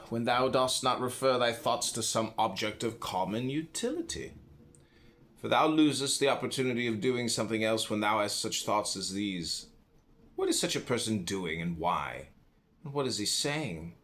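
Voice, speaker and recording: A man reading a philosophy book aloud in a solemn, deliberate way, phrase by phrase with pauses. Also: lowish voice, a bit bright. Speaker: audiobook reader.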